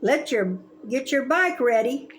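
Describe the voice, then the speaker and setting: A woman's voice: high-pitched, lively intonation, a little slow. A woman in her seventies voicing a cheerful young man who is an encouraging older brother, in a friendly, upbeat way. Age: 70s.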